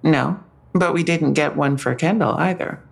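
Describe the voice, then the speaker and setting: An actress playing a police detective in an audio drama, matter-of-fact and a little wry. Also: female voice, deep voice, moderate pace, creaky. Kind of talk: full-cast audio drama acting.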